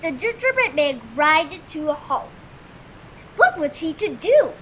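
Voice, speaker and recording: Woman, children's read-aloud, high bright voice, expressive, storytelling. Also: a little slow, slight background noise.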